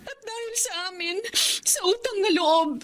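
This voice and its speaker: A woman's voice: very high-pitched, normal speed. A woman playing a worried, pleading middle-aged wife and mother in a Filipino radio drama, with a Filipino accent.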